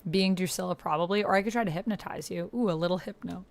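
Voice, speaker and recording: A woman's voice: on the low side, quick pace, vocal fry. A woman chatting casually on a livestream as she plays a text roleplaying game, thinking aloud and reading messages out as she types. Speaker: streamer and player of a text-based roleplaying game. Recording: noticeable background noise with keyboard typing. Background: computer keyboard typing.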